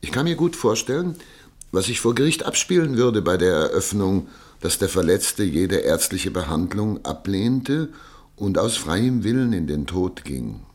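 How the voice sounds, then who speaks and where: A self-assured, eloquent judge in a radio drama. His voice is on the low side, and he speaks deliberately, like a practised courtroom orator with a pompous streak. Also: lively intonation, darkish tone. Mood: genial, deliberate. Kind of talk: German radio play acting.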